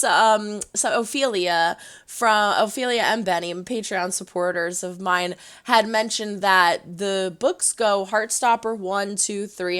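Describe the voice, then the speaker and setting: A woman hosting a casual reaction vlog, chatty and animated, her voice going hoarse. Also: mid-range voice. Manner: chatty, animated, rambling with restarts. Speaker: reaction video host.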